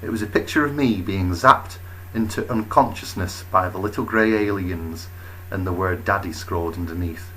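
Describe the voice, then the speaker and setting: A man narrating a comic first-person story as a storyteller, expressive, with wry, deadpan understatement. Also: low voice, normal speed, a little hiss or hum, low-quality recording.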